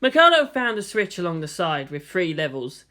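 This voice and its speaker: Animated story narrator with widely varied pitch. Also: moderate pace.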